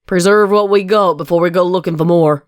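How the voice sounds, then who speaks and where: A woman voicing an earnest, burdened farm mare in a dramatic story reading, with an American Southern accent and a folksy, measured delivery. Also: fairly low pitch, steady tone, darkish tone, no room sound, clean studio sound, lightly acted. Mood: serious, practical. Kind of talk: dramatic fan fiction reading.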